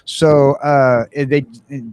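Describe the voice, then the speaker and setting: A man hosting a casual chat, hesitant, filling pauses with "uh". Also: medium pitch, flat delivery, a little mellow, clean tone, highs cut off. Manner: hesitant, fills with "uh". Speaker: host.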